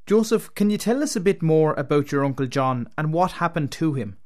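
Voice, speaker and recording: A man's voice: on the high side, brisk, darkish tone, no echo, clean studio sound. A man with an Irish accent presenting a radio programme as host, reading from a script.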